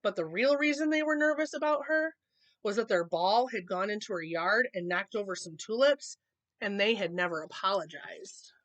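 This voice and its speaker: A woman storyteller reads to young children with very lively, varied intonation and a medium pitch. She is expressive and drops in casual, explanatory asides as she goes. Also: normal speed. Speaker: storyteller reading a picture book to children. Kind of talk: animated read-aloud with casual asides.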